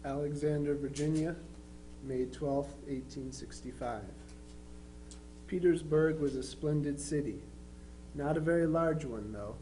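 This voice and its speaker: A man playing a plainspoken twenty-three-year-old Union soldier, reading his affectionate letters home in an unhurried, matter-of-fact way. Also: a little high, mellow, natural voice. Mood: reflective, matter-of-fact. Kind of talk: dramatized reading of historical letters. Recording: faintly noisy live recording with hum.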